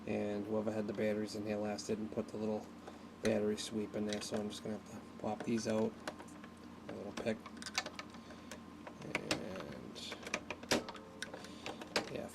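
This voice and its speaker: Guy with a creaky voice doing a casual hands-on how-to, kinda halting, noisy camera mic. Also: on the low side. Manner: halting, thinking aloud while working. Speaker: hobbyist presenter of a radio repair video. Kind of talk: casual unscripted hands-on tutorial.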